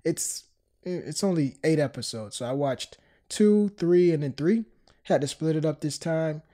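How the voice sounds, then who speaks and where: A man's voice: fairly high pitch, measured pace, mellow. A man hosting a podcast in casual chat, a bit stuffed up from a cold. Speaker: podcast host.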